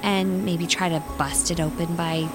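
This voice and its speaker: A woman's voice: fairly low pitch, steady tone, moderate pace, natural voice. A woman playing an earnest, nurturing hippie mom herbalist in a comedic audio drama, gushing and soothing. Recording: music behind the voice.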